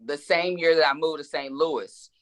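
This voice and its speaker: A woman's voice: deep voice, fairly even intonation, normal speed. A woman in her forties, a guest author in a casual interview, speaking reflectively and with emphasis, often repeating words as she thinks. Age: 40s.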